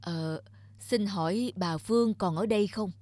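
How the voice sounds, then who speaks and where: A man's voice: sits high, a little slow, darkish tone, no room sound. A man voicing a regretful, hesitant older man longing for a lost love in a cartoon. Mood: hesitant, anxious. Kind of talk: cartoon voice acting.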